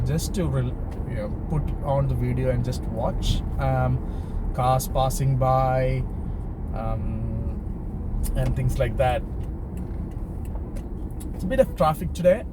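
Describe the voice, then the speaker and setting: A man vlogging from behind the wheel, chatting casually and unscripted to viewers in a halting, hesitant way. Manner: halting and hesitant, trailing into pauses. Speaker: driving vlogger. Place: inside a car while driving. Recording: noisy car interior with road rumble. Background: steady car and traffic noise.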